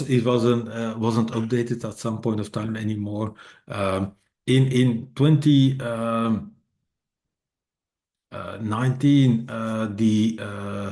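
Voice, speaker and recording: Dutch-speaking man with a mellow voice. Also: lowish voice. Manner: hesitant, many fillers and restarts. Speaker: author and speaker. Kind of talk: casual online meetup presentation. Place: online video meetup.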